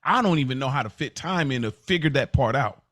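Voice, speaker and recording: A man's voice: mid-range voice, animated, brisk, a bit bright, no room sound, studio quality. An American man on a podcast panel, talking casually and reflectively, repeating phrases for emphasis.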